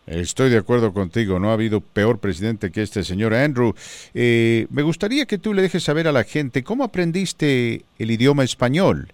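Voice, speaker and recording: A man's voice: mid-range voice, normal speed, no echo. A man hosting a Spanish-language radio interview in a studio, asking questions with many "eh" fillers. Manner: lively questioning with frequent fillers. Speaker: radio show host. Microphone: studio microphone.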